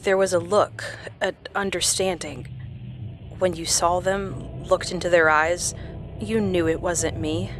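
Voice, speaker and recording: An actress plays a perceptive, caring woman in a horror audio drama. Her voice is a little low and her delivery is soft and measured. A faint ambient noise sits behind her. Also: steady tone, natural voice. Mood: knowing, solemn. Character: gentle, perceptive woman, concerned companion to a troubled man.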